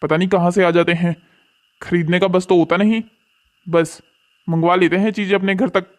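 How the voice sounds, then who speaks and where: A man's voice: high voice, fairly even intonation, normal speed, natural voice. A man plays a young parcel delivery worker in a cartoon family drama. He is blunt and scornful. His delivery has a lecturing edge, addressed to customers he looks down on.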